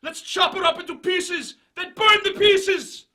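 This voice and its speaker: A frantic screeching mob leader. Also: male voice, very high voice, a little slow, exaggerated voice. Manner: shouting, frantic. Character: frenzied shouting male mob leader. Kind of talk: mocking comedy sketch voice. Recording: distant clip audio.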